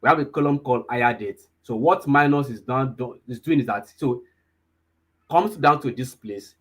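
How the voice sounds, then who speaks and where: A young man walks a live online class through a lesson, his voice husky and a little rough around the edges. He keeps repeating key points and asking if everyone is following, and his pitch sits squarely in the middle range for a man. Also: moderate pace. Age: 20s. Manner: repetitive, checks in with listeners often. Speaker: data analysis instructor.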